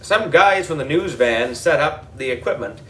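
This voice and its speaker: A man's voice: fairly high pitch, expressive, moderate pace, pushed and bright, recorded from a distance. A man narrating a children's audiobook in a playful, exaggerated storytelling manner. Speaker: audiobook reader.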